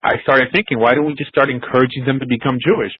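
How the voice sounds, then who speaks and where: A man's voice: mid-range voice, fast talker, husky, no echo. Man, forties, casual storyteller, dead dry, a bit dull. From United States. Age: 40s. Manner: relaxed, chatty, storytelling. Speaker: host. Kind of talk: casual conversation.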